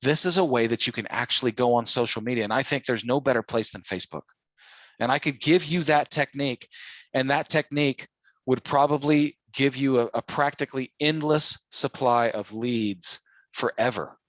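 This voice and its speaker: A man with a medium-pitched voice leads a sales training call. He talks informally and persuasively, often putting questions to the listeners. The sound is dry, with little room in it. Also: normal speed, fairly bright tone, dry recording, rough recording. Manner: persuasive, full of rhetorical questions. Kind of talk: informal sales coaching talk.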